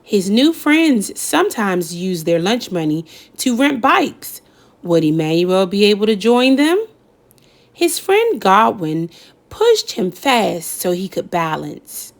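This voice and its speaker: A woman reading a children's picture book aloud in an expressive storytelling style, pausing for effect. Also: mid-range voice. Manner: slow, lively storytelling with dramatic pauses. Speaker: read-aloud narrator.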